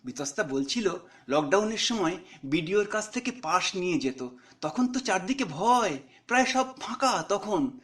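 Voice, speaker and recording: A man's voice: high-pitched, lively intonation, a little slow, a bit bright. A man reading his own short story in a conversational way, with dialogue.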